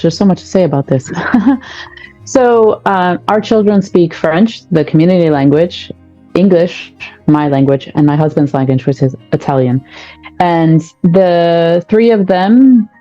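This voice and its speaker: Low, dark-voiced woman. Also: slow, lo-fi sound, faint music behind. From United States. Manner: reflective, thoughtful explaining. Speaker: guest, parenting coach. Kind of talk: podcast conversation.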